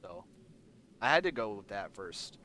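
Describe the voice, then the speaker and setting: A congested man with a nasal voice talks to his stream in a loose, rambling way, repeating himself as he reads out the chat. His voice is bright and swings up and down a lot. Faint noise hums behind him. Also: fairly low pitch, sing-song, unhurried. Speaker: livestream host. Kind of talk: casual chat with live chat.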